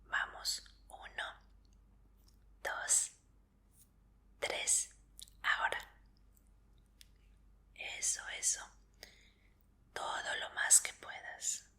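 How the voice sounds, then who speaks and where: Woman playing attentive female examiner for an ASMR roleplay: crisp, very bright whisper, soothing and polite. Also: full character voice. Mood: calmly encouraging. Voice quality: soft breathy whisper. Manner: slow, soothing, polite instructions with encouragement. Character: gentle, attentive female medical examiner preparing a fighter.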